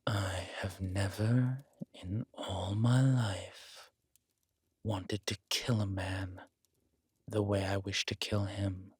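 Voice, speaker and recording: A man playing a controlled, vengeful villain king who speaks with measured, quiet menace in an intimate audio roleplay. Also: lowish voice, steady tone, slightly rough, no room sound, heavily acted. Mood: seething.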